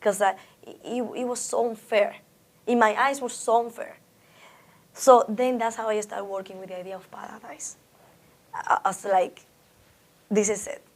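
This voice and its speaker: A woman's voice: medium pitch, steady tone, normal speed, pauses often. A woman from the Dominican Republic with a Dominican Spanish accent, a Spanish speaker being interviewed about her art, reflective and often restarting her sentences. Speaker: artist interviewee. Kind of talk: oral history interview.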